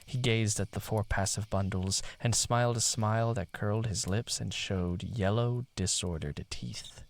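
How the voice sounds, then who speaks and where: A man narrating a literary story in a theatrical, deliberate manner. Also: lowish voice, slight background noise.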